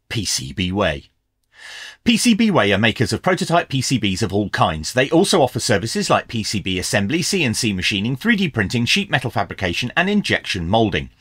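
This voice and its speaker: A middle-aged man hosts a product review in a medium-pitched voice. His intonation moves up and down a lot as he presents. The sound is dry, with very little room echo. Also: wildly swooping pitch, brisk, a bit bright, breathy edge. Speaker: YouTube host reviewing radio gear. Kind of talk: scripted product review with hands-on commentary. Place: small indoor electronics workshop.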